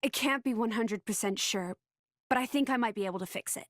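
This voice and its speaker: An actress playing a gentle but resolute young heroine in a video game, soft-spoken and earnest. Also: female voice, fairly high pitch, a little fast, no room sound, clean studio sound, close to an everyday voice. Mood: quietly resolute.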